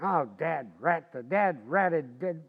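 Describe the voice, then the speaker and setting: An actor playing a blustering, stubborn, folksy husband in a 1940s-style radio comedy. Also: male voice, fairly high pitch, expressive, takes it slowly, gravelly, phone-quality sound, full character voice. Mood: grumbling.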